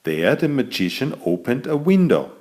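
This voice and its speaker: A man, the author, reading his own bedtime fairy tale aloud in an expressive storytelling manner. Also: medium pitch, moderate pace.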